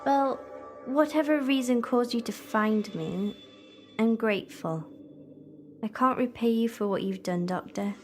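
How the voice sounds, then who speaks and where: Audio drama role: young female companion played by a woman, high voice, inquisitive and conversational, faint music underneath. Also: unhurried. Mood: coaxing, grateful. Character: grateful, questioning young female companion of a time traveller.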